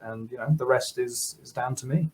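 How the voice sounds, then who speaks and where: A German man in his thirties, a software developer chatting as a guest on a video call, laughing often and restarting his sentences. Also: medium pitch, moderate pace. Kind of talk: casual interview talk.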